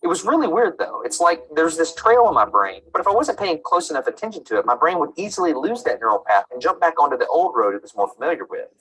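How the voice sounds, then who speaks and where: A man from the United States narrating a science video, telling his own experiment story in an animated, self-deprecating way. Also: a little high, fast talker, hardly any pauses, husky, rough recording. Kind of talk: video narration with on-the-spot commentary.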